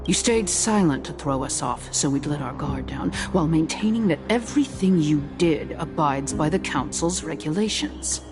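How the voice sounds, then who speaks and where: A woman voicing a scheming council elder in a video game, smug and formal with mock courtesy and quiet menace. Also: sits low, lively intonation, moderate pace, few pauses, over music. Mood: smug, probing. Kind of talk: game voice acting.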